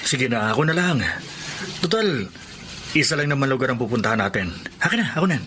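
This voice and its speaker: Persistent Filipino suitor, noisy recording. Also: male voice, mid-range voice, lively intonation, brisk, sharp tone. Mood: coaxing. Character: persistent, coaxing middle-aged male suitor. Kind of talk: Filipino radio drama acting.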